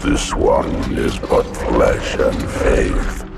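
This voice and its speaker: An actor playing a huge ancient parasite monster in a game, speaking in slow, grand, rhyming pronouncements with a layered monster voice effect. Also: male voice, huge pitch swings, far from the mic, heavy background noise, heavily acted. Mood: menacing. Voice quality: gravelly, rumbling. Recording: loud game score, voice set back.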